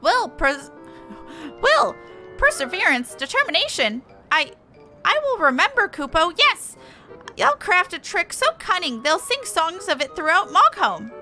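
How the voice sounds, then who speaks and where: A tiny, eager moogle creature with a very high, squeaky voice. The delivery is bright, earnest and exclamatory, like a cute game mascot, with music playing behind. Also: female voice, big pitch swings, measured pace, exaggerated voice. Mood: fired up. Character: small eager excitable moogle apprentice creature.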